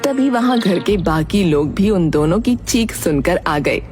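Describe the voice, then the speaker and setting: A woman narrates a children's story in a scripted storybook style. Music plays clearly behind her voice throughout. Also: fairly low pitch, animated, a little slow.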